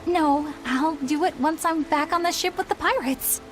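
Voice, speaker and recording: A woman's voice: high voice, moderate pace, faint music behind, close to an everyday voice. A woman playing a proper, dutiful and stubborn young female warrior in a video game, with formal, earnest speech. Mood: prim, defensive. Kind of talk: English video game voice acting.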